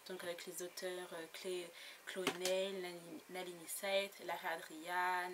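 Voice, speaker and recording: A woman's voice: fairly low pitch, little pitch movement, unhurried. A woman chatting casually about her latest book haul, giggly and full of fillers and restarts. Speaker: book blogger. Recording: noisy home recording.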